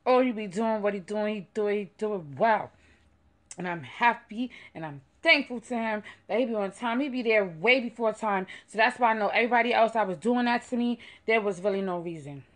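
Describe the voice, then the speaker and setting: A woman's voice: mid-range voice, brisk, a bit bright, no echo. A middle-aged woman talks straight into a phone held close. She is loose and playful, drifting into sing-song asides as she chats at home and from the seat of her car. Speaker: vlogger. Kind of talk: casual chat to camera. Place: living room at home, then parked car. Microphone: phone camera held close.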